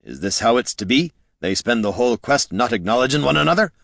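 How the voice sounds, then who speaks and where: A man's voice: medium pitch, expressive, quick pace, creaky, a bit bright, no room sound, studio quality, voice pushed up. A man voicing a blunt, exasperated male veteran adventurer in an audiobook, forthright in manner.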